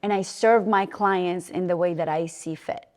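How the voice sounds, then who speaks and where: A woman's voice: lowish voice, normal speed, a little mellow, big-room sound. A woman from Colombia, speaking earnestly and reflectively as an interview guest. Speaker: interview guest, fitness coach and gym owner. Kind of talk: personal interview conversation.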